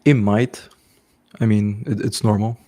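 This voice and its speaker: Give me a man with a deep, warm voice hosting a casual live Q&A. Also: steady tone, unhurried. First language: French. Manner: casual, repeats "okay" and "bro", frequent restarts. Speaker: host coaching online business. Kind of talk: live stream Q&A, casual advice.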